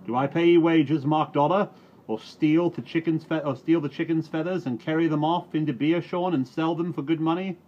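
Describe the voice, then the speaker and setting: A man voicing an angry, grasping farmer who shouts and scolds. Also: a little high, fairly even intonation, normal speed, pitched above the performer's own voice, natural voice. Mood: scolding. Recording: dull recording, faint hiss.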